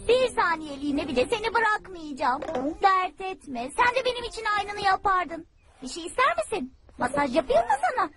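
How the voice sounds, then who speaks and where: Tiny, very squeaky cartoon bat. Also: female voice, big pitch swings, normal speed. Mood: devoted, fussing. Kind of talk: animated cartoon dub. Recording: loud music behind dialogue.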